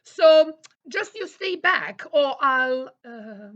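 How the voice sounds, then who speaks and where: A woman playing an excitable, blustering talking teddy bear in a children's audio play. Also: high-pitched, drawn-out words, muffled recording, exaggerated voice. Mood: nervous bravado.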